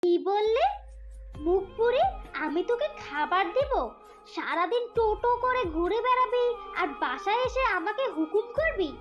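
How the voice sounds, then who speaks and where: A woman's voice: extremely high pitch, expressive, normal speed. A woman voicing a cruel, scheming young stepmother in a fairy-tale cartoon, with a bullying, scolding manner. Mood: furious, bullying. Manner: scolding, contemptuous rhetorical questions. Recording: dull lo-fi cartoon track with music.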